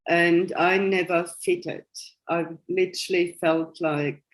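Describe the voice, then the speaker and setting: A woman's voice: lowish voice, steady tone, slow, rough recording. A German-accented woman in her sixties, a guest telling her life story in casual conversation and often laughing along the way. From West Germany. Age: 60s. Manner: chatty and self-amused, laughing often between thoughts.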